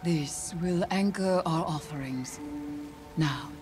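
A woman's voice: low-pitched, slow pace. An actress playing a grave, cryptic witch in a video game, speaking formally. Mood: solemn. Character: solemn, ominous witch guiding a soldier through haunted ship. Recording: loud game music and effects over voice.

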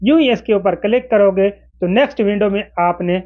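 A man's voice: sits high, moderate pace, phone-quality sound. A man in his forties explaining phone settings step by step to camera in an instructional manner. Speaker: tech tutorial presenter. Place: small indoor room with desk.

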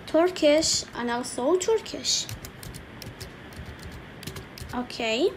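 A woman teaching an English lesson slowly, repeating each word and saying "okay" often, with keyboard typing faintly behind her. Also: high-pitched, expressive, a little hiss or hum. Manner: slow, repetitive, saying each word twice with frequent "okay". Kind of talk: slow screen-recorded language lesson.

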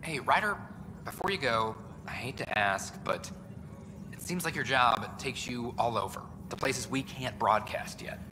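A weary but eager reporter in a space game, played by a man, pitching his work with quick enthusiasm. A long reverb hangs on his voice, and a clear ambient hum runs behind. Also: animated, natural voice. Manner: eager, fast-talking, a little weary. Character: tired, eager male news reporter. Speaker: news reporter.

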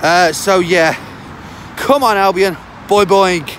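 A man, hoarse from a sore throat, chatting through a football match-day vlog to his phone outdoors with lots of fillers. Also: sits high, takes it slowly, fairly bright tone, a little hiss or hum. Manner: chatty, rambling with many fillers. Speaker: football fan vlogger. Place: outdoors on a city street on a cold day. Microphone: phone held at arm's length.

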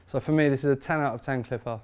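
A man's voice: mid-range voice, fairly even intonation, very fast, background noise. A man, a barber chatting enthusiastically about trimmers in a barber shop. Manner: enthusiastic, emphatic, informal. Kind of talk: product review chat.

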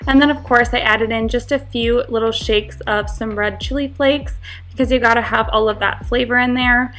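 A woman's voice: fairly high pitch, fairly even intonation, normal speed, fairly bright tone, soft background music. A young woman giving a friendly, instructive voice-over for a home cooking tutorial. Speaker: cooking vlogger.

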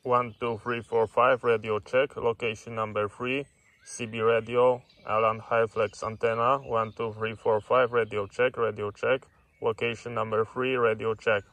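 A man's voice: mid-range voice, level tone, moderate pace, a bit bright. A man making radio test calls outdoors, in a clipped, repetitive counting delivery. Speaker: radio hobbyist testing equipment. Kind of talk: radio check test call. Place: outdoors in grass and woods.

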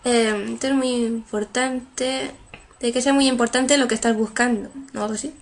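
A woman's voice: fairly high pitch, steady tone, normal speed. Chatty young woman gamer. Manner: chatty, translating on the fly with many fillers. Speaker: gameplay streamer. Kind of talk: playing and reading game dialogue aloud. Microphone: headset.

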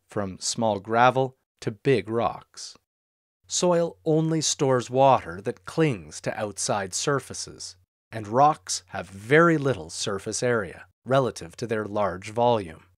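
A man narrates a lesson on soil and irrigation in a steady, explanatory way. His voice sits at a middle pitch. The recording is dry and close, with no room sound. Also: dry recording. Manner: clear, steady explanatory delivery. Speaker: narrator of an instructional farm video. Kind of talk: scripted educational narration.

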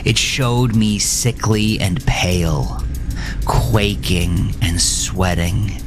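A man narrating a scripted first-person horror story in an intense, dramatic, deliberate way. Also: sits low, vocal fry.

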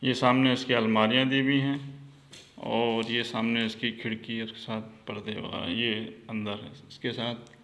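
A man walking through a farmhouse with his phone camera, pointing things out in a relaxed, plain way. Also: mid-range voice, normal speed, slight background noise. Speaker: vlogger giving a property tour. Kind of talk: casual walkthrough narration. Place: outdoors and indoors at a farmhouse. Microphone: phone camera held by the speaker.

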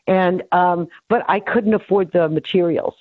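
A woman's voice: on the low side, a little slow, dry recording. A woman in her seventies from New York City, rambling through her life story as an interview guest, full of fillers and restarts. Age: 70s. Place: home office. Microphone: headset on a video call. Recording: tinny phone-like dry sound.

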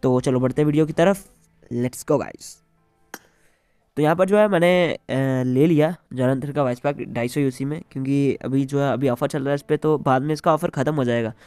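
A man's voice: mid-range voice, normal speed, a little mellow, clean tone, dry recording, faint music behind. A man with an Indian accent hosting a gaming video in a casual commentary style. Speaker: gaming channel host.

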